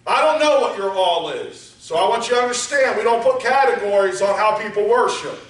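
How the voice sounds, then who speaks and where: A man preaching a sermon, moving from quiet teaching up to shouted exhortation. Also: high voice, animated, fairly quick. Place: church sanctuary. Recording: echoey church hall, voice from far off.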